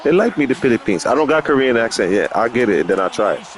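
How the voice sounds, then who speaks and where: Lively, slangy man chatting outdoors. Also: medium pitch, quick pace, slight background noise, poor audio. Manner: animated and slangy, often laughs. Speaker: vlogger. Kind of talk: casual vlog chat. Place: outdoors on a dog walk.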